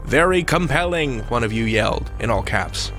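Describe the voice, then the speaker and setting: A man's voice: medium pitch, lively intonation, moderate pace, fairly bright tone, soft background music. An American man hosting a podcast countdown with playful, theatrical scripted narration. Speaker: podcast host.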